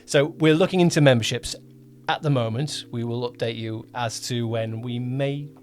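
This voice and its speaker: A man co-hosting a casual podcast as a producer, talking in a halting way, correcting himself and repeating phrases. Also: medium pitch, darkish tone. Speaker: podcast co-host, audio drama producer.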